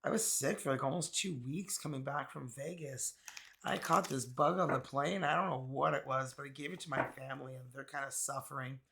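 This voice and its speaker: A man from Canada with a raspy, hoarse voice, still getting over being sick. He talks his way through a tarot reading in a loose, musing manner, full of fillers. His mid-pitched voice has a gravelly fry to it. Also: a little fast, sharp tone. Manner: chatty, musing, many fillers. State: hoarse, recovering from illness. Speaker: tarot reader. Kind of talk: casual tarot card reading.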